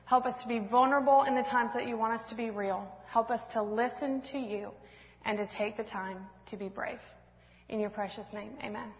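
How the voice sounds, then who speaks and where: A woman's voice: on the high side, fairly even intonation, a little fast, long reverb tail, dull audio. A woman from Washington State in her thirties giving a rambling, self-deprecating personal testimony, laughing often and leaning on fillers. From Washington State, US West Coast. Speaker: speaker at a church women's event. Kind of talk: personal testimony talk with slides.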